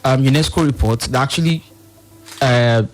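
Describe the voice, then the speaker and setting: A man's voice: mid-range voice, normal speed. Hesitant Nigerian man explaining on radio. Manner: hesitant, repeats phrases. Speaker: panel guest, monitoring and evaluation officer. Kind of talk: explanatory radio interview talk.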